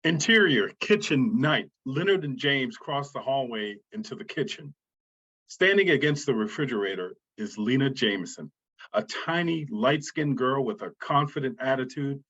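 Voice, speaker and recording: A man with a husky voice reading scripted narration as stage directions, on a slightly muffled recording. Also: medium pitch, expressive, moderate pace, a bit bright.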